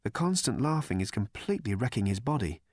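A composed, serious woman, read by a male narrator. She speaks quietly and steadily, with a close, dry sound and no room around the voice. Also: medium pitch, big pitch swings, fairly quick, no echo.

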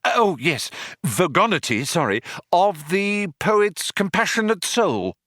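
A man's voice: sits high, very animated, slow pace, a bit bright, no room sound, clean studio sound, pitched above the performer's own voice, full character voice. A man voicing a flustered young Earthman who flounders and hesitates as he bluffs his way through. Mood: bright, floundering.

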